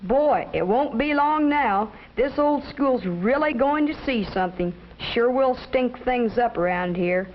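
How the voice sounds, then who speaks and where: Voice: medium pitch, lively intonation, unhurried, hardly any pauses, slight background noise, lightly acted. A young performer playing a pushy schoolboy ringleader with slangy bravado in a 1950s educational film. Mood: scheming, uneasy. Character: cocky scheming schoolboy ringleader, pushy with friends. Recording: dull vintage 1950s film soundtrack.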